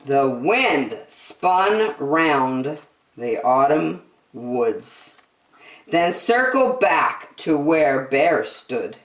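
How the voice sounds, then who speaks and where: Slow, animated woman reading a storybook. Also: low voice. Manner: expressive, deliberate storytelling. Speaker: children's story reader. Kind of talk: storybook read-aloud. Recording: roomy, echoing sound.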